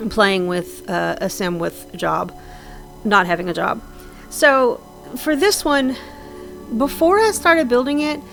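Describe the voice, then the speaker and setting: A woman's voice: medium pitch, a bit bright. Chatty woman with a slightly scratchy voice. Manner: rambling, chatty, frequent ums and trailing restarts. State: slightly scratchy voice from sinus trouble. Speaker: Sims builder narrating a speed build. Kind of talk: casual gameplay voice-over.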